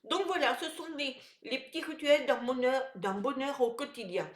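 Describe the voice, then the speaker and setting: A woman's voice: high voice, animated, normal speed, echoey room. A woman with a head cold, her voice nasal and stuffy. She gives wellness tips to her viewers in a hesitant, casual way, with many fillers and restarts. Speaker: wellness vlogger. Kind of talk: casual talk to camera.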